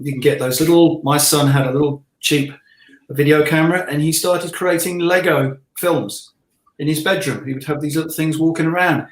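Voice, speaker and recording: Middle aged guy, bit higher voice, jokey rambly chat, echoey room. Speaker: illustrator and interview guest. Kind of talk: casual chat. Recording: roomy, echoey sound.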